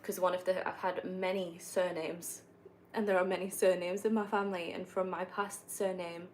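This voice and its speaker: A young woman from northeast England talks casually to her stream viewers in a gently northeastern accent, her voice sitting a little low. She rambles, restarts sentences and fills gaps with little fillers. A faint hiss sits under the home recording. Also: level tone, normal speed. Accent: northeast English, softened. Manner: chatty, rambling, many fillers and self-corrections. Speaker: livestreamer answering viewer questions. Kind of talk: casual live-stream chat while gaming.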